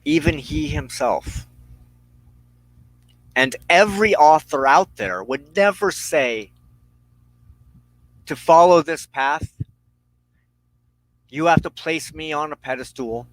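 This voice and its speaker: A man hosting a personal spiritual talk, speaking in deliberate, halting phrases with firm emphasis. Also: fairly high pitch, expressive, dry recording, rough recording. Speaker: host of a weekly witchcraft broadcast.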